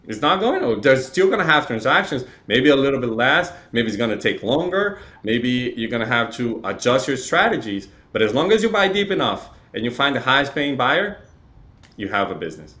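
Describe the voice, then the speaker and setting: A man from Chile who grew up speaking talks as a guest in an interview. His pitch sits in the middle for a man, and he explains things conversationally, with frequent tag questions. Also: big pitch swings, fairly quick, bright, forceful tone. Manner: explanatory, uses frequent "right?" tags. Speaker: interview guest, real estate data provider.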